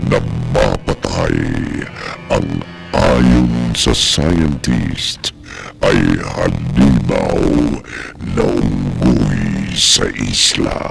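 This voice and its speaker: A man playing a sinister horror storyteller on a radio drama, gravelly and deep, with a drawn-out, theatrical, ominous delivery. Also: heavily acted. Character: sinister slow-talking horror storyteller with a menacing laugh. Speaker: narrator and host of a horror radio series. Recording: loud music under the voice.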